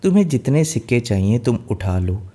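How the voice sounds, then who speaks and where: A man's voice: medium pitch, sing-song, a little fast, dark tone. A man playing a kindly female fairy who gives instructions in a storybook tale. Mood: pleased.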